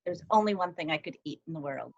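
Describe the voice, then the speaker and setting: A woman's voice: sits low, expressive, fast talker, a little mellow, dull audio. A woman leading a video-call group discussion, encouraging people and asking lots of questions. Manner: encouraging, asks many questions. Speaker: workshop facilitator.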